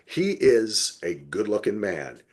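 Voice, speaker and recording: Man, middle-aged, lively swinging intonation, casual podcast talk, emphatic, playful exaggeration. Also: fairly low pitch, slow. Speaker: podcast co-host. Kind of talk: casual TV review chat.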